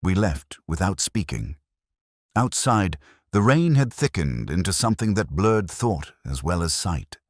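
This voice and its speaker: Very deep brooding detective voiced by a man. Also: dry recording, studio quality. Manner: measured, solemn, introspective. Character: brooding, reflective British male police inspector narrating his case. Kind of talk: scripted literary mystery narration.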